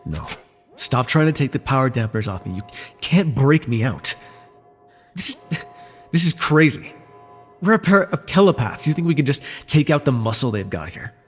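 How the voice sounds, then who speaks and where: A man playing a captured telepath supervillain, a sardonic and worldly former mentor, in an immersive audio roleplay, with a husky, gravelly voice and theatrical, wry delivery. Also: medium pitch, big pitch swings, normal speed, natural voice. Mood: stunned, incredulous. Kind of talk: immersive ASMR roleplay voice acting. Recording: long reverb, faint music behind.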